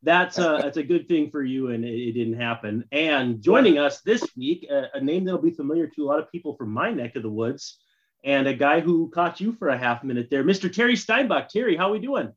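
A man's voice: fairly high pitch, lively intonation, a little fast, muffled recording. A man in his thirties with an American accent hosts a casual sports podcast chat. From Minnesota, USA. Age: 30s. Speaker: podcast host.

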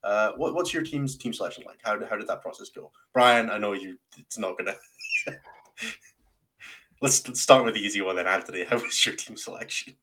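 Wry, low-voiced male podcast host. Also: a little fast, pushed and bright. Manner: wry, self-deprecating, teasing. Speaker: podcast host and team captain. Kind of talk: casual round-table hosting.

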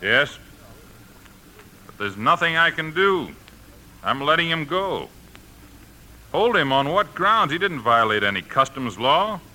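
Sharp, skeptical customs inspector. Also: male voice, fairly high pitch, sing-song, a little slow, pauses often, exaggerated voice, poor audio. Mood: exasperated. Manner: clipped, skeptical, grudging. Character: suspicious, worn-down government customs inspector. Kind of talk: 1950s radio drama acting. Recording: old radio broadcast, faint noise.

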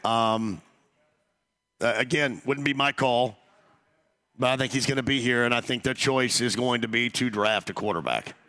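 Hoarse man hosting sports radio. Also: mid-range voice, moderate pace, bright, forceful tone. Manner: loose, rambling with restarts. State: hoarse, lost voice. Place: radio studio at a restaurant.

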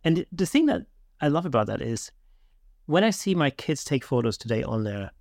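Middle aged guy hosting a podcast, mid pitch voice, casual chat, close dry sound. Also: big pitch swings, normal speed, vocal fry, dry recording. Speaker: podcast host. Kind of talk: casual conversational interview.

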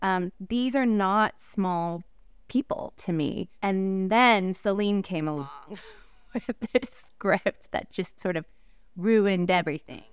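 A woman, a native Korean speaker, talking reflectively as an actress in an interview, halting with fillers and soft laughs. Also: mid-range voice, clean tone, no echo, studio quality.

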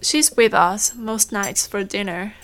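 A woman's voice: mid-range voice, slow, fairly bright tone. An actress playing a kindly, middle-aged, motherly farm woman in a naturalistic audio drama. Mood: soft, sorrowful.

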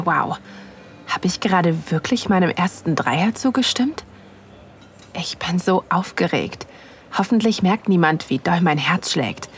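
A woman playing an excited, aroused wife who narrates an erotic audio drama in an intimate, breathy manner. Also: lowish voice, a little hiss or hum. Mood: nervous, excited.

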